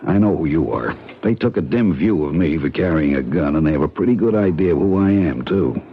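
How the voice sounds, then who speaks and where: A man's voice: very deep voice, lively intonation, a little fast, husky, heavily acted. An actor playing a tough male government agent in a 1970s radio drama, dry and matter-of-fact. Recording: dull, faintly hissy old radio broadcast.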